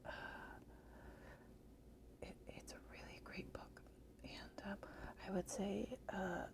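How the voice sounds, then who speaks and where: Woman, slow unhurried whisper, slightly hoarse, hesitant ASMR book reviewer. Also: mid-range voice, steady tone. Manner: soft hesitant whisper with frequent fillers.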